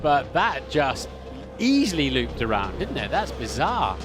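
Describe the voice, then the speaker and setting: A man's voice: medium pitch, very animated, unhurried, over music. A man giving conversational, somewhat hesitant motorsport commentary.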